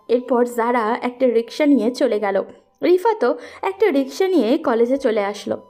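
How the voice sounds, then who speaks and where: High, mellow woman narrator. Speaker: story narrator. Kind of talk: scripted romantic story narration.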